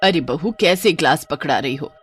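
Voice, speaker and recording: A woman's voice: low-pitched, expressive, moderate pace, slightly rough, soft background music, pitched below the performer's own voice. A woman voicing a bossy middle-aged mother-in-law in a horror story, scolding and giving orders. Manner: scolding, commanding.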